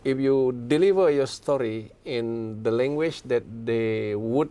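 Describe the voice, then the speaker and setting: A man's voice: mid-range voice, a little slow, dark tone, clear, resonant tone. Searching, restarting male interviewer. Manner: searching phrasing, long questions, mid-sentence restarts.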